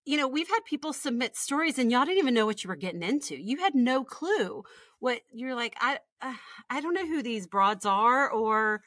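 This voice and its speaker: Bright, high-voiced Texan woman bantering casually. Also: expressive, normal speed, no room sound. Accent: Texan American. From West Texas, United States. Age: 40s. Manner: informal, bantering, emphatic. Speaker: podcast co-host. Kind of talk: casual comedic chat.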